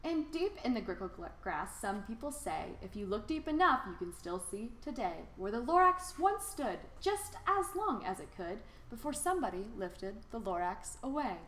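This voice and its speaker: A woman reads a children's storybook aloud with expressive, theatrical emphasis and a rhyming cadence. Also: medium pitch, normal speed, few pauses, slight background noise. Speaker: storybook reader. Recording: roomy, echoing sound.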